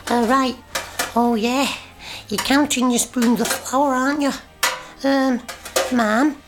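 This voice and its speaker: A woman's voice: sits high, steady tone, takes it slowly, noisy background, voice pushed up. A woman voicing an eager, cheeky young boy, nagging his parents with questions in a radio comedy sketch. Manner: chirpy, nagging, full of questions.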